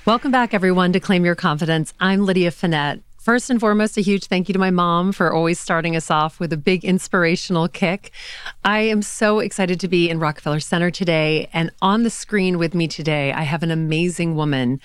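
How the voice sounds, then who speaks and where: A woman hosting an interview show, talking with enthusiasm and warmth toward her guest. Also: on the low side, brisk, clean tone, no echo, clean studio sound. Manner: enthusiastic, effusive. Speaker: podcast host and auctioneer. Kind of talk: interview conversation. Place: studio.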